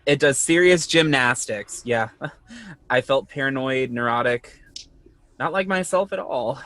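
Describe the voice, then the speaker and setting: Casting: man to play an anxious, guarded male lead, hesitant and natural, clear-edged bright tone, music in the mix. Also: medium pitch, expressive. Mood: anxious, confessional. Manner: hesitant, halting, self-conscious. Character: anxious, defensive man confiding about his panic. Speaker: podcast host playing himself in audio drama. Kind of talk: naturalistic conversational audio drama acting.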